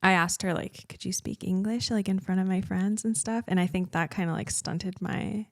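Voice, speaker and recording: A young American woman, a racing driver, chatting as a podcast guest and pausing often to search for words. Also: mid-range voice, steady tone, dry recording, clean studio sound. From United States. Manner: hesitant, many fillers, searching for words. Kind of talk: casual interview chat.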